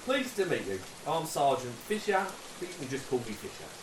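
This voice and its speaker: An actor playing a respectful, good-humoured army sergeant in a wartime radio drama. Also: male voice, medium pitch, very animated, a little fast, natural voice. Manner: respectful, obliging. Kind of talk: period war radio drama acting. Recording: echoey, distant, hissy with rain.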